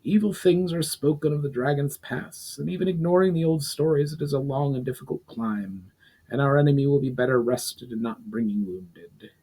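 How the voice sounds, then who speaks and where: Storybook army general, middle-aged, measured, authoritative, patient teacher to his officers male reader acting him. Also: medium pitch, mellow, breathy edge, rough recording. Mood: grave warning. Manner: measured, instructive, commanding. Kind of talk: dramatic fantasy story reading.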